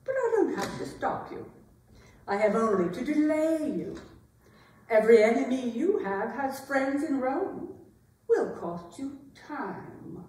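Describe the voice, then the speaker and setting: A woman playing a bitter, taunting aging queen in a theatrical staged reading, with biting, deliberate delivery. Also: high-pitched, sing-song, dark tone, slight background noise. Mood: taunting, menacing. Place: arts center stage, recorded from afar. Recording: very echoey hall, distant mic.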